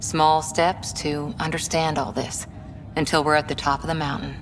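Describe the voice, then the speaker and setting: A thoughtful female officer in a sci-fi game, acted by a woman. Her voice is very low and casually reflective, with clear ambient noise behind it. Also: flat delivery, normal speed, close to an everyday voice. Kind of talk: video game dialogue.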